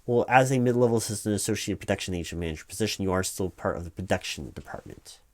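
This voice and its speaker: A man's voice: lowish voice, quick pace, close to an everyday voice. A man playing a flustered, polite corporate hiring manager in a comedic interview roleplay, stammering and hesitating as he goes, with keyboard typing. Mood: hesitant, flustered. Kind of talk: one-sided comedic job interview audio roleplay. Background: computer keyboard typing, tapping.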